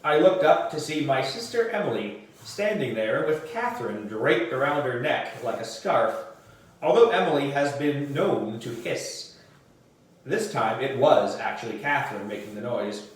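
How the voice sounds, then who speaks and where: A man reading a children's story aloud in an animated way, with dramatic emphasis. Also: mid-range voice, normal speed. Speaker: audiobook reader for a book club. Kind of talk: expressive children's storybook narration. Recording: roomy echo, distant microphone.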